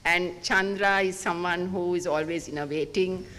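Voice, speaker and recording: A man's voice: high voice, fairly even intonation, moderate pace, bright, forceful tone. A man, a chairman giving praising closing remarks at a panel. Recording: reverberant hall with faint noise.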